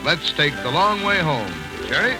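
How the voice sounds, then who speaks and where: A man's voice: a little high, expressive, normal speed, over music, rough recording. A man announcing on a 1940s radio show, in an old broadcast recording.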